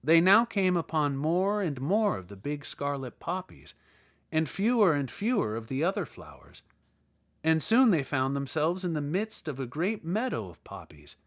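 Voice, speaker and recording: Animated male storybook narrator. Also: fairly high pitch, normal speed. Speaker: audiobook narrator. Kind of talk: expressive children's storybook narration.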